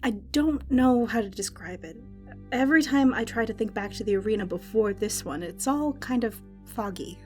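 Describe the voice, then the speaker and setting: A woman playing a chatty, excitable and bloodthirsty fantasy arena announcer in an audio drama. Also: on the high side, moderate pace, close to an everyday voice. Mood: puzzled. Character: gleeful, bloodthirsty female fantasy arena announcer, easily worried. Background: music.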